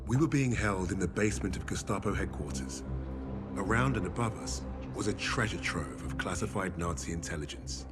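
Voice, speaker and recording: A captive Allied lieutenant in a cinematic war game, calculating and defiant. His voice is very deep, grave and controlled, with loud music behind it. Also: animated, fairly quick. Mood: grim resolve.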